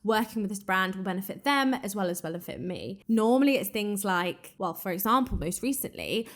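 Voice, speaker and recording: Chatty young English woman podcaster. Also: mid-range voice. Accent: southern English with a slight northern twang. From England and Ireland. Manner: chatty, frank, fillers like "like". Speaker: podcast host. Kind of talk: casual solo chat.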